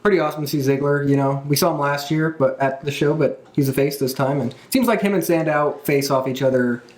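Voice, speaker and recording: Higher-voiced man, podcast host, roomy sound. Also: quick pace. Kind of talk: casual chat recapping events. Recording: roomy, echoing room sound.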